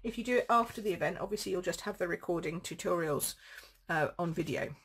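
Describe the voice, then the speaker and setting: A woman with a croaky throat, chatting casually as she demonstrates card making at home. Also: fairly low pitch, fast talker. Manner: chatty, rambling, thinks aloud while crafting. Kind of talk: casual live craft demo.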